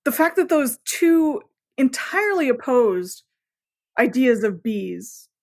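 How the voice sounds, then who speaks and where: A woman speaking casually as an interview guest, telling things in an animated, bookish and enthusiastic way. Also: high voice, slow. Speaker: podcast guest, author.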